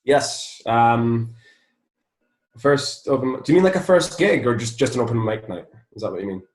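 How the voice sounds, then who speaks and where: A man's voice: medium pitch, expressive, normal speed. Scottish man chatting in an echoey room. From Scotland. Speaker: interview guest, musician. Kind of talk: casual anecdotal chat.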